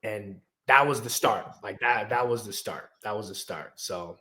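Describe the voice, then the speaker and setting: A man in his twenties from the United States, appearing as a guest and telling his story in a relaxed, easygoing way. He fills his talk with ums and quick laughs, and his voice has a bright, crisp edge. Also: lowish voice, a little hiss or hum. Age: 20s. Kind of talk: conversational storytelling.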